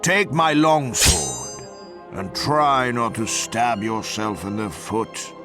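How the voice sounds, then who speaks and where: A disdainful, haughty hero from a video game. He speaks very slowly and theatrically in a lowish voice with big swings of pitch, over music. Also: animated. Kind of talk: scripted video game character acting. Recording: music under the voice.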